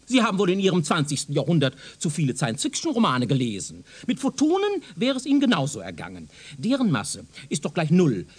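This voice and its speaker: A self-assured professor from the future in a radio play. His husky voice swings dramatically up and down as he lectures. The manner is pedantic and condescending, full of rhetorical emphasis. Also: a little high, wildly swooping pitch, brisk, few pauses, heavily acted. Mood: animated, dismissive. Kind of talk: German radio drama acting, lecturing dialogue.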